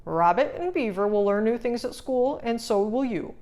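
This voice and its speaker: Woman playing a male owl teacher, storybook, gentle, patient, encouraging, varied pitch. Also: expressive, moderate pace, close to an everyday voice.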